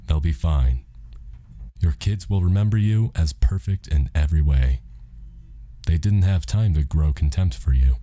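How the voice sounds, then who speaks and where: A man voicing a calm, matter-of-fact God in an audiobook, casual, deadpan and reassuring. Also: a bass voice, measured pace, no echo. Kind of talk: audiobook character dialogue.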